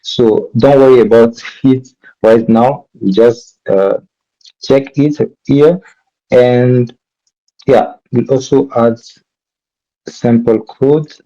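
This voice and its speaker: A man's voice: medium pitch, fairly even intonation, takes it slowly, long pauses, dark tone, highs cut off. A man from DR Congo with a French accent, teaching a live coding workshop and often stopping to correct himself mid-sentence. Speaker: workshop instructor.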